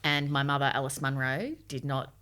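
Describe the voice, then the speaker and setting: Low-voiced woman, podcast co-host. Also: flat delivery, moderate pace, pushed and bright. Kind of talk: serious conversational discussion.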